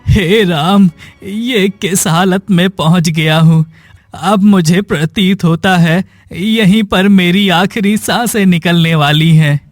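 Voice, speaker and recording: A man's voice: high-pitched, slow pace, no room sound, soft background music. A man playing an ailing, hunchbacked poor man in a cartoon moral story, plaintive, melodramatic and despairing. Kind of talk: dramatic Hindi cartoon story dialogue.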